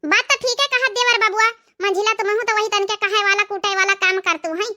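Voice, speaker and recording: A woman's voice: extremely high pitch, steady tone, fairly quick, sharp tone, full character voice. A woman voicing a talkative, opinionated village daughter-in-law in an Awadhi-accented cartoon comedy, gossiping and handing out advice. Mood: chiding. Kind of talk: animated family comedy dialogue.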